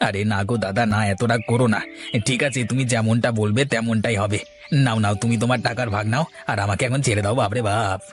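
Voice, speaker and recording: Cartoon thief played by a man, quick-talking, sly, wheedling, faint music under. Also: lowish voice, steady tone, close to an everyday voice. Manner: wheedling, haggling, flustered. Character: sly greedy male thief, cowardly. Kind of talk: Bengali cartoon voice acting.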